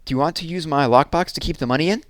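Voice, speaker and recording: A man's voice: fairly high pitch, very fast, no echo, studio quality, pitched above the performer's own voice, close to an everyday voice. A man voicing an eager young girl who pleads and insists in a raised voice.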